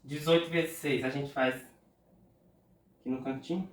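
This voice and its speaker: A man's voice: medium pitch, steady tone, roomy sound, far from the mic. Nasal Brazilian man with a cold. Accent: Brazilian Portuguese. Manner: patient, talks through arithmetic aloud slowly. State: congested from a cold. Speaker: math tutor. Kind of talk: step-by-step exam problem walkthrough.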